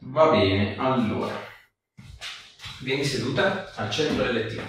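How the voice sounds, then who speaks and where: A man working as a chiropractor, giving short, gentle instructions and explanations to a patient. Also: medium pitch, lively intonation, measured pace. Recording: echoey room, distant mic, background noise.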